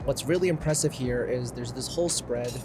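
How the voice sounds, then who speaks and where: A man of medium pitch narrates a travel vlog from his seat on a plane, relaxed and talking straight to his viewers. A loud, steady engine drone sits under his voice the whole time. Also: fairly quick, background noise. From Canada. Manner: relaxed, reflective, talks to viewers directly. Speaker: travel vlogger. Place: airplane cabin in flight.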